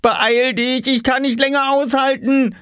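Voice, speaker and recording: Bossy, impatient young boy for a children's storybook, played by a male reader; raised high pitch, swooping and urgent. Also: big pitch swings, normal speed, no room sound, clean studio sound. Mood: impatient, frantic. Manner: urgent, exclaiming, scolding.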